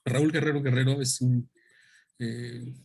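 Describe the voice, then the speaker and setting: A man gives an unscripted talk about his book into a microphone, thinking aloud with many pauses and restarts. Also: medium pitch, fairly even intonation, gravelly, darkish tone, breathy edge. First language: Spanish. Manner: thoughtful, hesitant, with many fillers and restarts. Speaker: author presenting his book.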